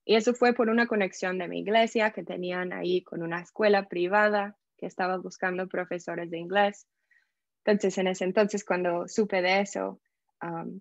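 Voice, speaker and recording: A woman from the United States with an American English accent, a podcast guest telling her story in a lively way and slipping English words into her Spanish. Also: mid-range voice, no room sound, dull audio. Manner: animated, acts out quoted voices, mixes English words into Spanish. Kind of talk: casual interview storytelling.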